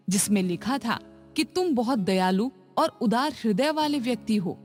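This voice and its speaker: A woman narrating short moral stories for children in a calm, even, scripted storytelling manner. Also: on the high side.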